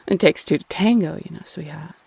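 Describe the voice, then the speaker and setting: Female Cupid, played by a woman, roleplay audio: sultry, playfully condescending, creaky and husky, lingering over phrases. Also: low-pitched, darkish tone, no room sound, exaggerated voice. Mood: reassuring, flirty. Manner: sultry, coy, teasing, drawn-out phrasing. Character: teasing, flirty female Cupid spirit, playfully condescending to humans. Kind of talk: intimate roleplay audio acting.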